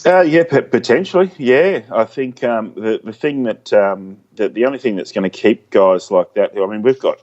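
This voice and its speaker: A low-voiced middle-aged man co-hosts a sports chat. He stumbles and restarts his sentences often. His audio sounds dull and lo-fi. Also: darkish tone. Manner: halting, many restarts and stumbles. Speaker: podcast co-host. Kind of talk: casual sports podcast chat.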